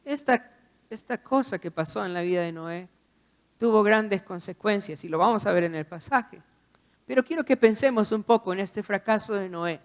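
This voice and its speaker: A man's voice: sits high, normal speed. A man preaching a sermon, exhorting the listener with repeated phrases and rhetorical questions.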